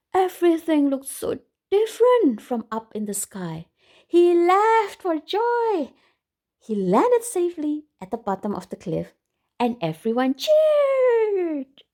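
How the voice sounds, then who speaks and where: Lady doing kids storytime, slow and super expressive, voice swings up and down, asks the listeners stuff. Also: high voice. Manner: expressive, exclaiming, engaging listeners with questions. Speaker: storytime reader for a children's book club. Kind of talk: animated children's read-aloud with commentary to listeners.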